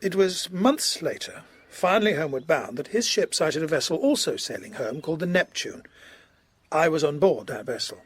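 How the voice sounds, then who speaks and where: Man as a husky, courteous Georgian colonel. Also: a little high, animated. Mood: earnest recounting. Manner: formal, hesitant, measured storytelling. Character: courteous, earnest Georgian colonel, grave and considerate. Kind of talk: period audio drama acting.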